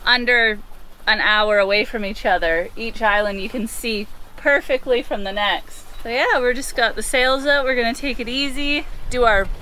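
A woman's voice: on the high side, normal speed, sharp tone, a little hiss or hum, rough recording. A young woman cheerfully explaining the sailing trip to a camera held at arm's length on a sailboat. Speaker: sailing vlogger. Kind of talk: casual chat to camera. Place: aboard a sailboat outdoors. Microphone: handheld camera at arm's length.

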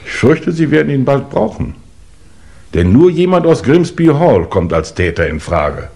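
An actor playing a polite, grave police investigator, formal and measured, in a 1950s German radio play. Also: male voice, mid-range voice, big pitch swings, vocal fry, darkish tone, a little hiss or hum.

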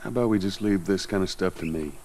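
An actor playing a gruff, weary middle-aged smuggler, terse and guarded in a video game. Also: male voice, deep voice, fast, over music, close to an everyday voice. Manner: terse, dry, grumbling.

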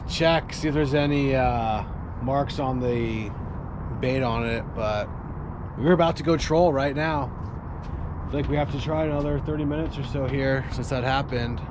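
A man in his thirties casually narrating a fishing vlog from a boat on a river, matter-of-fact and laid-back. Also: mid-range voice. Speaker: fishing vlogger. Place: outdoors on a small motorboat on a river. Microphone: action camera at arm's length or mounted on the boat. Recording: noisy outdoor action-camera audio.

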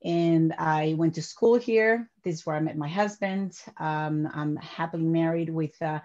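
Guest, woman in her 40s, lively and repeats words as she talks, muffled video-call sound. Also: sits low, unhurried. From Peru. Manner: animated, repeats words while building sentences. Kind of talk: interview over video call. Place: home office. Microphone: webcam on video call. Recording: dull lo-fi video call audio.

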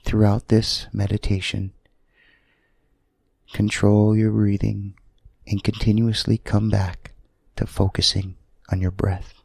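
A man leading a guided sleep meditation in a soothing, gentle manner, leaving long pauses between short phrases. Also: lowish voice, little pitch movement, gravelly, slightly rough, no room sound.

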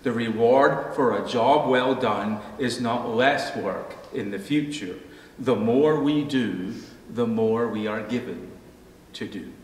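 Middle-aged pastor preaching in echoey church. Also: male voice, mid-range voice, darkish tone. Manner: measured preaching, slowing at phrase ends. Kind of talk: sermon. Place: church sanctuary at a pulpit. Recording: echoey hall, faint room noise.

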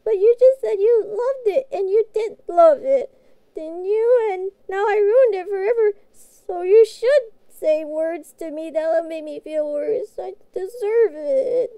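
A woman playing a childlike, guilt-ridden catgirl pet in a fantasy audio roleplay, speaking in halting, pleading, naive phrases. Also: extremely high pitch, mellow, no echo. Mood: upset, self-blaming.

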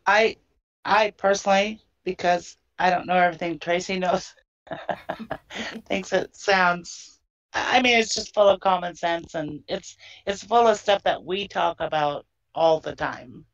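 A woman's voice: lowish voice, measured pace. Hesitant woman on a dull line. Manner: hesitant, laughs nervously. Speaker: panelist.

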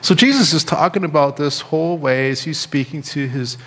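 A middle-aged American man preaching a sermon as a pastor, speaking in measured phrases with pauses and repetition. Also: fairly high pitch, a bit bright. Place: church sanctuary, indoors at a lectern. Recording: reverberant church hall.

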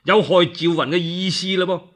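A man with a Cantonese accent telling a story for radio in a colloquial, expressive, theatrical way. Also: high-pitched, normal speed. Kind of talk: animated dramatic radio storytelling.

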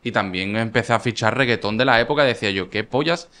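A man's voice: on the low side, fast, pushed and bright. A man in his thirties with an Andalusian Málaga accent, chatting casually and telling stories in slangy, emphatic bursts on a podcast. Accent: Andalusian Spanish (Málaga). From Málaga, Spain. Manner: slangy, emphatic, addresses listeners as bro. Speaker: podcast guest, rapper and streamer. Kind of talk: casual chat, storytelling. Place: small indoor podcast studio. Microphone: podcast microphone on table.

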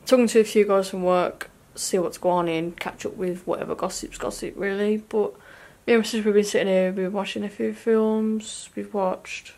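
A woman's voice: mid-range voice, steady tone, normal speed, hardly any pauses, darkish tone. A young woman in her twenties from England, chatting in a rambling, slangy, sweary way to a camera held at arm's length in a hotel room. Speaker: vlogger. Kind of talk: casual vlog chat. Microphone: phone or camera at arm's length.

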